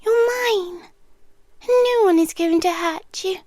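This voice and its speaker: A woman's voice: extremely high pitch, no echo, clean studio sound. A British woman playing a centuries-old possessive monster lover in an ASMR roleplay, coaxing and sweetly menacing, often slipping into a whisper. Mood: soothing, possessive. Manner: slow, hushed, coaxing, often drops into whispers.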